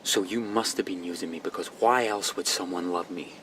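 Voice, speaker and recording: An intense young student, hunted and grieving. He speaks haltingly, tripping over repeated words, in radio drama style, with some background noise. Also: sits low, fairly bright tone. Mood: hurt, accusing. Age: young adult. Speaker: actor.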